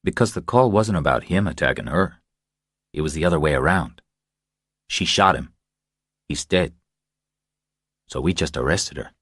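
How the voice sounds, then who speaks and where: A man reading as a sour, deep-voiced state trooper. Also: expressive, normal speed, long pauses, a bit bright, breathy edge, no echo, clean studio sound, full character voice. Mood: sour, grim. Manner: curt, dismissive, stubborn.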